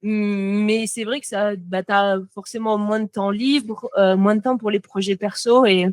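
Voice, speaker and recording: A woman's voice: mid-range voice, steady tone, measured pace, darkish tone, no room sound, studio quality. A young French woman, a motion designer, chatting as a podcast guest, talking freely with lots of fillers and restarts. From France. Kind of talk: casual podcast conversation.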